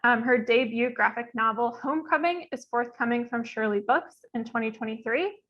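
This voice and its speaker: A woman's voice: fairly high pitch, brisk, lo-fi sound. A woman speaking as moderator of an online event, informally and a little hesitantly introducing it and its guests. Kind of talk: informal event introduction, partly reading bios. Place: online video call.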